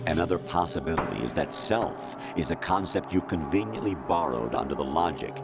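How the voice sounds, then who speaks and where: A man voicing a cold artificial intelligence that rules over humanity, lecturing in a measured, condescending way in a video game cutscene. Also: low voice, lively intonation, vocal fry. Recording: loud music underneath. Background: loud music.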